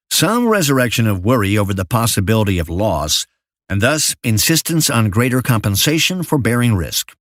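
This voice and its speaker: Older man, mid-pitched voice, measured formal delivery, scripted finance narration, dry close sound. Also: no echo. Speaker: investment memo narrator.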